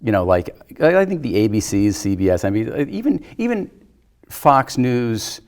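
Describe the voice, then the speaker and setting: A man's voice: medium pitch, very animated, moderate pace, a little mellow. A man in a casual discussion, giving his opinions hesitantly and circling before he lands on a point. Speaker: film discussion panelist.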